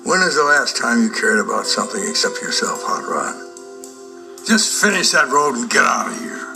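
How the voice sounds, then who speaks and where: A gruff old race car from a cartoon film, with music behind him. He is curt and commanding, his pitch moving widely as he lays down the law. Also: sing-song, moderate pace. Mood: bitter, scolding. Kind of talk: animated film dialogue. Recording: music-backed film dialogue clips.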